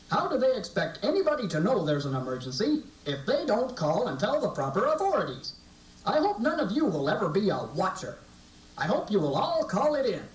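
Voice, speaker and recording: A performer voicing a friendly, earnest puppet who addresses children in a scripted show. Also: medium pitch. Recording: echoey, distant sound on VHS tape.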